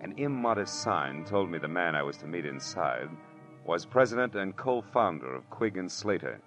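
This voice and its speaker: A man's voice: deep voice, sing-song, moderate pace, fairly bright tone. An American man acting a wry, world-weary private detective in an old radio drama, with slangy, wisecracking delivery. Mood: wry storytelling. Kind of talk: 1940s radio drama acting, first-person narration and dialogue. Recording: dull old radio recording with music.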